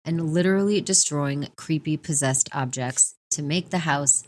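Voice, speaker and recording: A woman in her forties co-hosting a book podcast, reviewing in an expressive, chatty way with frequent laughter. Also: low voice, normal speed. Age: 40s. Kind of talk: book review chat, partly prepared notes.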